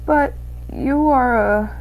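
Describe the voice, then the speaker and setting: Woman as timid young-hearted boy mouse, storybook quest hero, halting, earnest, trembly, higher-pitched. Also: a little mellow, rough recording. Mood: nervous, earnest.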